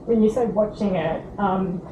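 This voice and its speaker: A woman's voice: mid-range voice, moderate pace. A woman interviewing a young barrister, asking polite questions with many fillers. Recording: phone-like, echoey, noisy.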